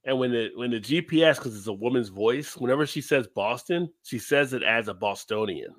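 Laughing man with a lively voice. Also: mid-range voice, a little fast, no echo. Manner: laughs often, lively storytelling. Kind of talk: casual chat.

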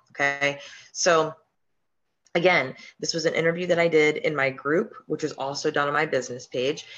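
A hoarse woman with a slight sore throat talks casually through a live-stream screen share, with frequent ums and restarts as she explains her steps. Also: low voice, fairly bright tone, lo-fi sound. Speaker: podcast host and online business coach.

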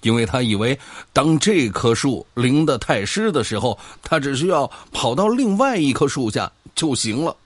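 A man's voice: medium pitch, sing-song, normal speed, hardly any pauses, dry recording. An actor playing an argumentative, pedantic man who scoffs and lectures his old friend in a sci-fi audio drama. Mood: scoffing, insistent.

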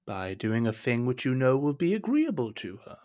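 An actor playing a scheming, deferential cardinal in a period audio drama, speaking in a measured, formal, persuasive way. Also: male voice, medium pitch, big pitch swings, dark tone, lightly acted. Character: smooth, scheming cardinal, deferential royal minister.

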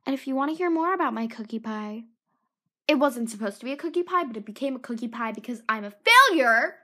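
A high, bright teenage girl chatting alone into her podcast mic. She rambles and mocks herself, often trailing off into whispers. Her throat is sore and her voice sounds tired. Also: animated, fast. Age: teens. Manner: self-mocking, scattered, drifts into whispered asides. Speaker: podcast host. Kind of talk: rambling solo podcast chat.

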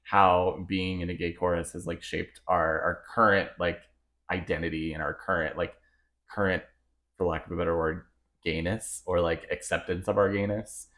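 A man's voice: low voice, steady tone, a bit bright. A man co-hosting a podcast in a playful, teasing way as he asks a guest questions. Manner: playful, teasing, quick to laugh. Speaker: podcast co-host. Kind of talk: casual interview chat.

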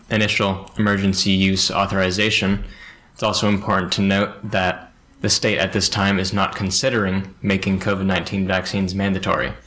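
A young man hosting a show, reading local news and then interviewing a guest in a steady, flat way. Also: fairly low pitch.

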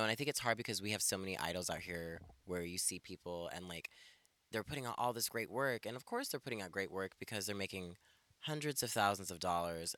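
A man in his twenties with a very low, bright-toned voice talks in a relaxed, reflective way, full of "like" and "you know". He sounds like a guest in a casual conversation held outdoors in a backyard, and the sound is dry. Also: no room sound. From Ethiopia. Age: 20s. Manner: relaxed and reflective, with many fillers.

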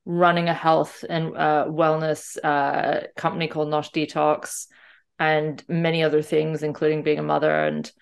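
A woman's voice: deep voice, level tone, normal speed, gravelly, clear, resonant tone. A middle-aged woman, a life coach hosting a podcast, reading a scripted intro and asking questions. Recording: music bed under voice.

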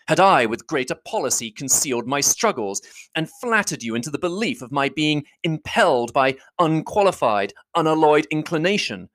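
A reader voicing a proud, stiff gentleman who speaks in a measured, contemptuous, slow way. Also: medium pitch. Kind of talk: audiobook reading.

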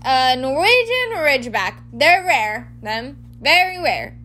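A woman's voice: high-pitched, sing-song, slow pace, bright, forceful tone, pitched above the performer's own voice, full character voice. Storybook role: hearty, bearded big man, proud groundskeeper, blurts things out in colloquial speech female reader acting him. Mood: eager, pleased.

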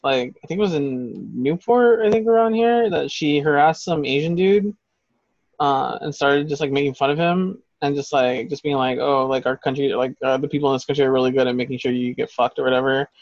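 A man's voice: mid-range voice, brisk, darkish tone, dry recording, muffled recording. A man co-hosting a podcast in casual chat, talking in a heated, profane, rambling way. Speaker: podcast co-host.

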